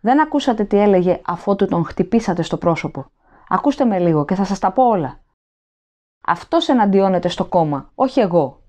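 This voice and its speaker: A woman's voice: medium pitch, animated, a little mellow, close to an everyday voice. A woman reading an audiobook voices a starving, terrified male prisoner who pleads frantically and rises to shrieks. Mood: shrieking. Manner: desperate pleading, rising to shrieks.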